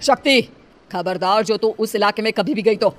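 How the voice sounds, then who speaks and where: A woman playing a stern, emphatic transgender foster mother in an animated story. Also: medium pitch, normal speed, lightly acted. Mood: angry scolding. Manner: scolding, emphatic. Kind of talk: animated Hindi moral story acting. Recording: music behind the voice.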